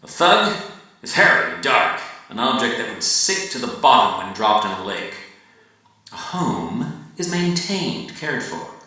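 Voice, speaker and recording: Heard across a very echoey room, a man performs a pompous coach lecturing on proper words. The voice is bright and forceful, slow and emphatic, with deliberate pauses in a staged literary monologue. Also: medium pitch, very animated. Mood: preachy, savoring. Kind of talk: dramatic reading of a literary monologue. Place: large echoey venue, live reading. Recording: very echoey room, far from mic.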